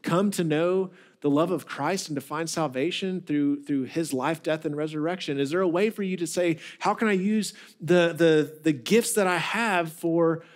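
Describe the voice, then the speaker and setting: A man in his thirties, a pastor, preaching a conversational, animated sermon to a seated audience in a large hall, often repeating words as he thinks. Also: a little high, moderate pace, few pauses.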